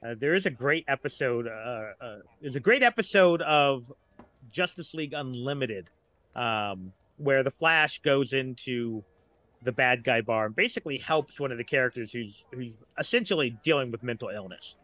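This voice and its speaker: A man's voice: medium pitch, expressive, moderate pace, bright, forceful tone, no room sound. A middle-aged man talking casually and discursively as a podcast guest.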